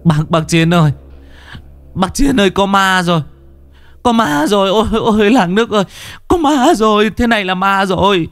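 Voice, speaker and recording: A man voicing a terrified villager, crying out in a high, panicked voice. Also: animated, moderate pace, dry recording, faint music behind. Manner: shouting, panicked. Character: terrified villager shrieking about a ghost.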